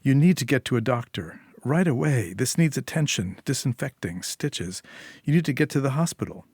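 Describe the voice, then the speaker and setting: A young woman, a nurse and mother, firm and brisk under strain , as a male narrator plays her. She gives clear, insistent instructions in a dry, close short-story recording. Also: mid-range voice, expressive, darkish tone, dry recording. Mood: urgent, firm.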